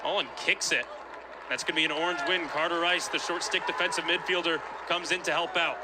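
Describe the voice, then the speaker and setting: A man's voice: on the high side, fairly even intonation, fairly quick. A young man calling a game live as play-by-play announcer, in clipped, descriptive phrases. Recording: loud crowd and arena noise.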